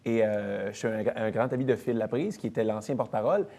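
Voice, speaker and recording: A man in his thirties with a Quebec French accent, a comedian and actor chatting as an interview guest, relaxed and self-deprecating as he tells stories. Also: on the low side, fast talker, gravelly. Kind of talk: casual interview chat.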